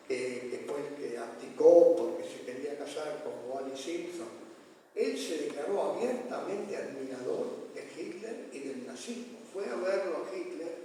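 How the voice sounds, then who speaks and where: A man's voice: fairly high pitch, mellow, slight background noise. A man presenting his book to an audience, telling history in a rambling way, correcting himself and using many fillers. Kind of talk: public book talk. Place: lecture hall. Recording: echoey hall from far off, faint ambience.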